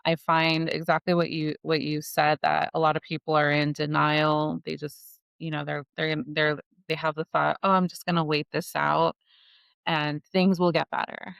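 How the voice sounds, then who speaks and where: A woman's voice: low voice, level tone, normal speed, creaky, fairly bright tone, clean tone, no room sound. A woman in her forties from Los Angeles, an immigration attorney and podcast guest, explaining things in an earnest, practical way with plenty of "you know" and "like.". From Los Angeles, United States. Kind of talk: casual podcast interview.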